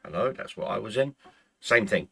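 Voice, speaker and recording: Man, casual rambling chat, gravelly fry, husky, losing his voice, native Irish speaker. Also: lowish voice, lively intonation, normal speed, sharp tone. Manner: rambling, with frequent stumbles and restarts. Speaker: gaming channel host.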